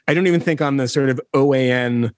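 A middle-aged man, a reporter guest on a podcast, talking in an animated way with wry asides and chuckles. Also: medium pitch, fast, dry recording. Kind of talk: conversational interview.

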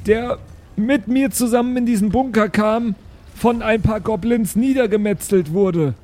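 High-voiced man playing a tabletop game. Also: a little slow, darkish tone. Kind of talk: casual improvised role-play chat.

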